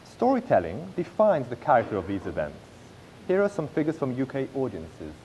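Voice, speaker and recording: A man in his thirties presenting a business pitch from a script on stage, with occasional slips and restarts. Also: huge pitch swings, normal speed, warm tone, dull audio, a little hiss or hum. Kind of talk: read-aloud business pitch. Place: large theatre stage.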